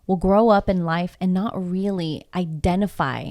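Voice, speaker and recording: A woman's voice: fairly low pitch, normal speed, a little mellow. Emphatic woman with rhetorical questions. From Houston, Texas. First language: Spanish. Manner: emphatic and reflective, with many rhetorical questions and tag "right?". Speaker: podcast guest. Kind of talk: casual podcast conversation.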